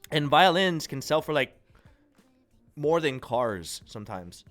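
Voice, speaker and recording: A congested, nasal-sounding man from the United States hosting a livestream, chatting casually and rambling through viewers' comments with a relaxed, self-deprecating manner. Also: a little high, takes it slowly, no echo, soft background music. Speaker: livestream host. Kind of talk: casual chat reading and answering viewer chat.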